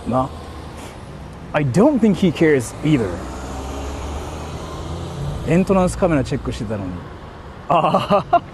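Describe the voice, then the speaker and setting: A man in casual livestream mode, speaking in a slightly higher voice than most men. He is hesitant and circles back over his own phrases. Some background noise sits behind him. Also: very animated, moderate pace, pauses often. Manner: hesitant, repetitive, mixes English and Japanese. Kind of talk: casual livestream chat with viewers.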